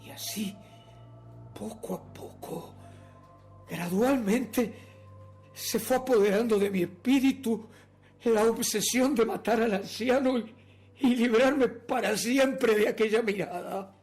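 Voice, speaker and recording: An actor playing a nervous, obsessive madman who insists he is sane, in a theatrical, halting radio drama monologue that swings between whispers and outbursts. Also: high-pitched, big pitch swings, creaky, a little mellow, soft background music, full character voice. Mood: brooding, obsessive.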